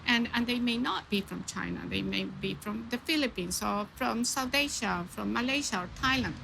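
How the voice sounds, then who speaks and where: A woman's voice: fairly high pitch, animated, normal speed, gravelly, background noise. A woman speaking earnestly in a documentary interview, often repeating words as she searches for them.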